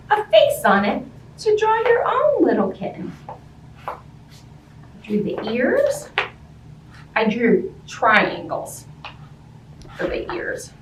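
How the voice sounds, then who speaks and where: A woman hosting story time for young children, reading a picture book expressively and chatting in simple, child-directed language with questions to her listeners. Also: high voice. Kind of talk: animated picture-book read-aloud and chat to young children. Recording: roomy, distant-sounding, faint background noise.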